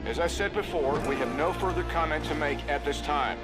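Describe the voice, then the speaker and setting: An actor playing a formal, evasive government official giving a press statement in a video game. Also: male voice, on the high side, a little fast, lightly acted. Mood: guarded, official. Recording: loud music over the voice.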